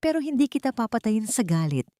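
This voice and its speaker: A woman playing a wronged, resolute mother in an audiobook drama, quiet, firm and deliberate. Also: fairly high pitch, big pitch swings, dry recording, clean studio sound, pitched above the performer's own voice. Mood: quiet resolve. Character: wronged, resolute mother facing her enemy.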